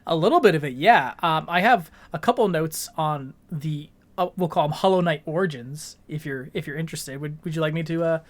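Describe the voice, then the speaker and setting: A man's voice: fairly high pitch, brisk, hardly any pauses. Articulate male podcast co-host, explaining conversationally. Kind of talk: conversational explainer from prepared notes.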